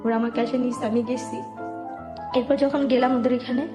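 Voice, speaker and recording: High-voiced Bangladeshi woman telling her story. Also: little pitch movement, moderate pace. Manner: colloquial, step-by-step narrating with quoted dialogue. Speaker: interview guest telling her life story. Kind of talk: personal testimony in conversational speech. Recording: echoey room with music underneath. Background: background music.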